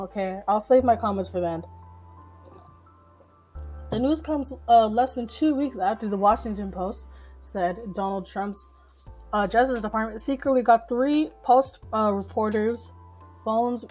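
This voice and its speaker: A just-woken woman hosting a live news stream from home, reading articles haltingly, stumbling and swearing as she comments. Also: a little high, a little mellow. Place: home, relatives talking downstairs.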